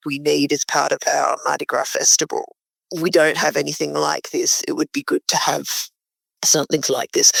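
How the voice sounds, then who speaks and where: A woman's voice: sits low, gravelly, no echo. A woman talking unscripted in an interview as a community organiser. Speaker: interviewee, community group founder.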